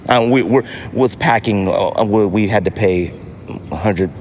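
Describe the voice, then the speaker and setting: Deep-voiced American man podcasting, noisy background. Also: a little slow. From United States. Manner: animated, slangy storytelling with mock voices for others; emphatic, with repetition for effect. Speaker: podcast host. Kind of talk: casual solo podcast talk.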